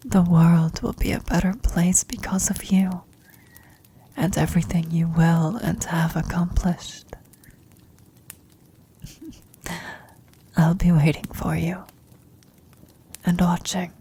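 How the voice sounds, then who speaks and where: A woman playing a tender, serene elderly former adventurer on her deathbed in an ASMR roleplay, speaking in a reflective, breathy whisper. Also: fairly low pitch, mellow, a little hiss or hum. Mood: gently consoling.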